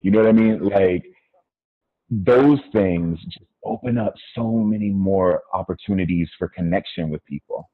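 Middle-aged American man, guest on a video call; low and husky, dry tinny line, thoughtful with restarts. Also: moderate pace, no echo. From United States. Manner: reflective, many fillers and restarts. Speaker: podcast guest. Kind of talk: casual conversation. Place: small room indoors. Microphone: earphone mic on video call. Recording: phone-like narrow sound, dry.

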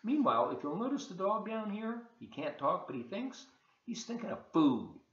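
A man in his seventies reading a children's storybook aloud in a folksy, expressive way with chatty asides to young listeners. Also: high voice, normal speed. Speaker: volunteer storybook reader. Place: open living room and kitchen, indoors. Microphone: webcam at desk distance. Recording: dull, roomy home webcam recording.

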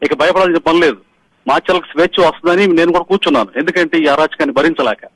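Middle-aged man, high voice, fast urgent speech, phone caller, emphatic. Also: a bit bright, rough recording. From Andhra Pradesh. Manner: urgent, pressing, emphatic retelling. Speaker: caller, party polling agent. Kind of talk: phone-in testimony to the media. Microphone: phone call.

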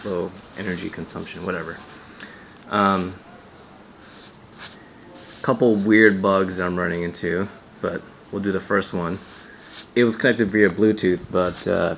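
A man's voice: deep voice, vocal fry, fairly bright tone, slight background noise. A man giving a casual hands-on gadget demo as a reviewer, offhand and sardonic, swearing and sounding exasperated.

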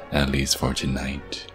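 An actor playing an ancient, menacing and seductive vampire king who taunts slowly in an ASMR roleplay. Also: a bass voice, full character voice. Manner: slow, taunting, purring menace.

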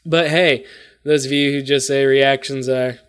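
A man's voice: medium pitch, steady tone, unhurried, clean tone. A young man in his twenties giving casual reaction commentary to camera from a room at home. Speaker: reaction video host. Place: small bedroom indoors.

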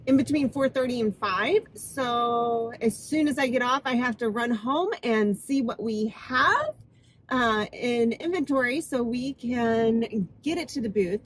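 Slow-talking nasal woman vlogging from her car. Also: fairly high pitch, a bit bright, husky. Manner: chatty, laughs often, slow and drawn out. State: nasal from a cold. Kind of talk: casual vlog chat to camera. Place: parked car, inside. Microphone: phone camera on the dashboard.

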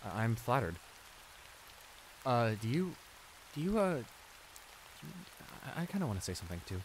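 A man's voice: medium pitch, normal speed. A man playing a shy, flustered school bully in an audio roleplay, stammering and hesitant as he apologizes and gets embarrassed. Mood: embarrassed, nervous. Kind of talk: intimate improvised audio roleplay acting. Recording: rain noise behind the voice. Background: steady rain.

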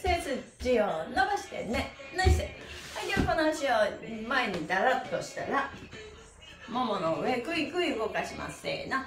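High, lively woman leading a stretch class. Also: normal speed. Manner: cheerful, encouraging, calling out while moving. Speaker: stretch exercise instructor and host. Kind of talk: guided stretching lesson with rhythmic chanted counting phrases. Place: small bare-walled room indoors. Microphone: camera a few metres away. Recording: roomy echo, distant mic, music.

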